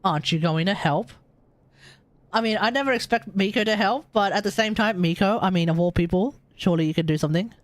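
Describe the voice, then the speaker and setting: Female game streamer: medium pitch, slangy, opinionated, casual running commentary. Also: quick pace, dry recording. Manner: slangy, opinionated, reacting aloud. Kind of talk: casual live gameplay commentary.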